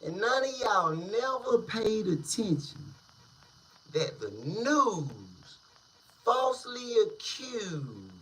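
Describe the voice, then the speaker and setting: A man hosting a casual street-news commentary channel, talking in slang with drawn-out, emphatic delivery. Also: high-pitched, very animated, fairly bright tone, slight background noise, poor audio.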